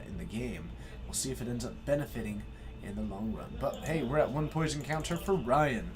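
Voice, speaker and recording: An excitable man hosting a live stream, giving play-by-play card game commentary and effusively thanking his viewers. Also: mid-range voice. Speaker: stream host and match commentator.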